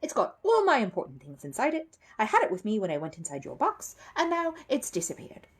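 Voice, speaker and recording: A woman's voice: mid-range voice, wildly swooping pitch, fairly quick, breathy edge, voice pushed up. A woman voicing a polite, earnest young male bear from a storybook in a matter-of-fact, firm manner. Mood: worried.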